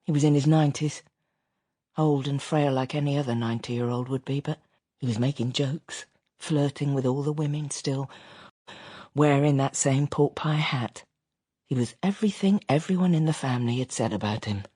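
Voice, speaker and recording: A man's voice: mid-range voice, moderate pace, dark tone, husky, no echo, studio quality, close to an everyday voice. An actor playing a reflective grown son, confiding wistful memories of an absent father in an intimate radio drama monologue. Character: reflective adult son recounting his estranged father.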